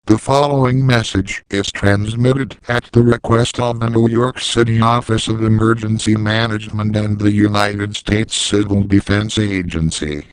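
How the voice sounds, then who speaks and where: A man playing a calm, stern 1980s civil defense announcer, reading a formal emergency message in a measured, deadpan, official manner. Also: fairly low pitch, smooth, dry recording, natural voice, poor audio. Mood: grave, controlled.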